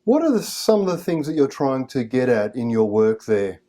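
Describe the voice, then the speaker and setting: A man hosting an interview, reading a prepared introduction and then asking measured questions while murmuring soft acknowledgements. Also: mid-range voice, very animated. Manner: measured, attentive, frequent soft backchannel "mm". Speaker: interview host.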